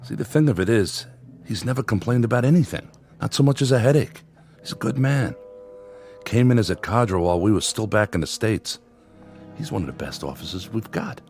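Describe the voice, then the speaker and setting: A very deep-voiced, concerned army captain. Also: male voice, animated, a little mellow, soft background music. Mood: careful, earnest. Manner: measured, tactful. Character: steady, concerned army captain, protective of his men. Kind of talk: audio drama acting.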